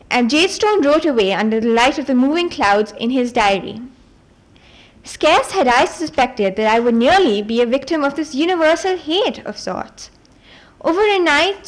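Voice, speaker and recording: High-pitched woman reading a story aloud. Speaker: reader at a book event. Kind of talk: reading a fantasy novel aloud.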